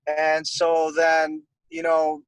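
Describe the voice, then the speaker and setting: A man's voice: a little high, monotone, very slow, smooth, no room sound, rough recording. A young man from Costa Rica, a guest airbrush artist, talking casually and rambling with many fillers. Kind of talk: casual interview chat.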